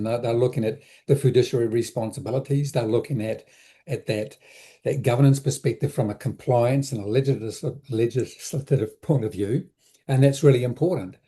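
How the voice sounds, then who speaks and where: A man with a notably dark, soft-edged voice at an ordinary male pitch. He explains things conversationally, like an advisor being interviewed. His delivery is reflective, circling back and rephrasing as he goes. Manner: reflective, halting, repeats words and rephrases as thinking aloud. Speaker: interview guest, business advisor.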